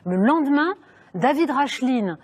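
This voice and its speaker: A woman interviewing a politician in a TV studio. Her voice sits fairly high, and she cuts in with pointed, challenging questions. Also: lively intonation, a little slow, breathy edge. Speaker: interviewer, TV host.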